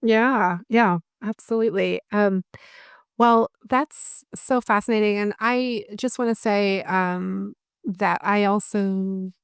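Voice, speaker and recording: A woman's voice: mid-range voice, slow, smooth, no echo, studio quality. A woman hosting an interview podcast, affirming her guest and talking with frequent fillers. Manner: warm affirming, many fillers. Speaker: podcast host. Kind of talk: interview conversation.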